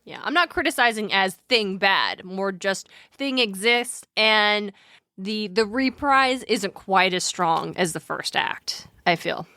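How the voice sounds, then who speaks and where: A woman's voice: mid-range voice, animated, takes it slowly, pushed and bright, no room sound. Woman podcaster with a close, dry sound. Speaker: podcast host. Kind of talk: casual chatty podcast banter and retelling.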